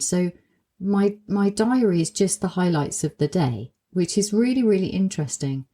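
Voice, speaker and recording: Woman, solo podcast host, lower voice, dark mellow tone, a touch croaky, reflective and self-questioning. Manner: warm-hearted, musing, self-questioning. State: slightly croaky voice from a cold.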